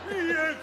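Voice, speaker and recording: Gothic minion, servile, bumbling, extremely high voice, exaggerated drawl, music behind. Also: male voice, heavily acted. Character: servile, bumbling hunchback-style vampire's henchman. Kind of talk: improvised comic stage acting.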